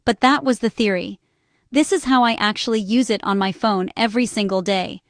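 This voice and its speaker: A woman's voice: mid-range voice, brisk, dry recording, studio quality. A woman reading a scripted explainer narration in short, instructional phrases. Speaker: narrator and small business owner explaining accessibility.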